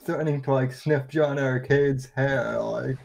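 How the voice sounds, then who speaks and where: A man co-hosting a podcast, chiming in with quick remarks during casual chat. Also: mid-range voice, fairly even intonation, creaky, darkish tone.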